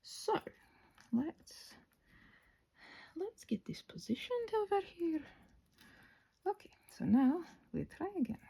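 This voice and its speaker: A woman's voice: high-pitched, very animated, slow pace, creaky, pauses often, warm tone. A woman giving a rambling, casual craft tutorial as host, chatty and playful, with scattered, self-deprecating asides.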